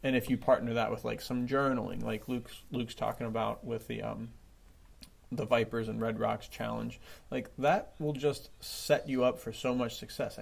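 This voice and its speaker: A man co-hosting a podcast in casual, informal chat, jokey and quick to chuckle. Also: medium pitch, vocal fry. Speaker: podcast co-host.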